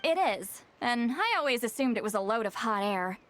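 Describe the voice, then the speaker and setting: A woman voicing a proud, sharp-tongued young shaman in a video game, explaining lore in a wry, lecturing way. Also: on the high side, lively intonation, normal speed. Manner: wry, lecturing, slightly haughty. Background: game soundtrack music.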